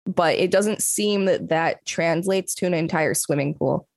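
A woman's voice: on the low side, a little slow, vocal fry, darkish tone, no echo, clean studio sound. A woman co-hosting a podcast, moving between casual chat and reading an article aloud. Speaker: podcast co-host.

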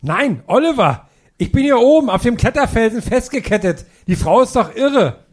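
A man's voice: very high voice, big pitch swings, moderate pace, full character voice. A man playing a loyal, anxious boy detective in a comedic audio play. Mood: worried.

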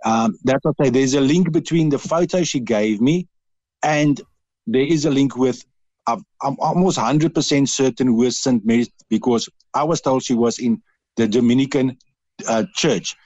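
A man's voice: medium pitch, darkish tone. A South African man speaks earnestly on a radio call-in, often stopping and restarting. His voice has a slightly dull, muffled sound, and the room adds little echo. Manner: earnest, halting, with frequent restarts. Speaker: radio caller. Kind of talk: radio interview.

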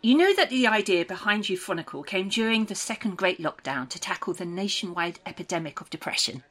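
A woman's voice: mid-range voice, expressive, fairly quick. Lilting, soothing woman official. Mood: softly probing. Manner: reassuring, procedural, brightly patronising. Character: soothing, probing woman official of a dystopian programme. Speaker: interviewer. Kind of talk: audio drama dialogue, clinical interview.

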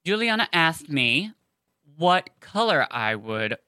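Female co-host, bright crisp voice, close dry sound, chatty and effusive fan-podcast delivery. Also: low-pitched, sing-song, takes it slowly, dry recording, rough recording. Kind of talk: casual fan podcast chat.

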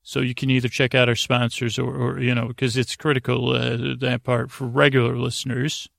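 Creaky, rambling male sleep podcaster. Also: mid-range voice, flat delivery, a bit bright, no echo, clean studio sound. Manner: meandering and halting, trailing off into tangents; self-deprecating. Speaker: podcast host.

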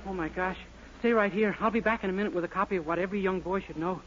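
A man's voice: high-pitched, fairly even intonation, rapid-fire, loud music behind the voice, lightly acted. An actor playing a naive, eager young man in a 1940s radio comedy, with a guileless, boyish manner. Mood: naive surprise. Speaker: comic actor in radio show. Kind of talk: 1940s radio sitcom acting. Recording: very noisy, dull 1940s radio recording.

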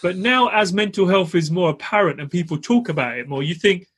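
A man with a husky, slightly high voice talks candidly on his podcast. He comes across as earnest and casual, often dropping "you know" and "man". It sounds like a relaxed, open chat. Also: brisk. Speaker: podcast host.

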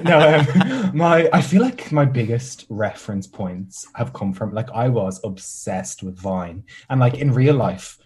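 A man's voice: mid-range voice, very animated, unhurried, few pauses, darkish tone. A young Irish man who makes content, chatting as an interview guest with sarcastic, self-mocking humor. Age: young adult. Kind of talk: casual podcast interview.

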